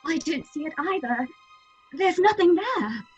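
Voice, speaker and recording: A woman's voice: very high-pitched, lively intonation, a little slow, darkish tone, faint music behind. A woman playing a timid, anxious young actress in a horror audio drama, meek and pleading, in slightly muffled sound. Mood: frightened.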